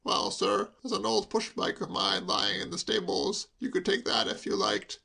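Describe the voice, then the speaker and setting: A rambling, deferential chauffeur with a polite, proper manner, as a male narrator puts him on. He chats on at length in a slightly raised, gravelly voice. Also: sits high, steady tone, moderate pace, sharp tone, voice pushed up, heavily acted. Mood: reproving. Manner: deferential, long-winded, rambling. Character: chatty, proper working-class male chauffeur.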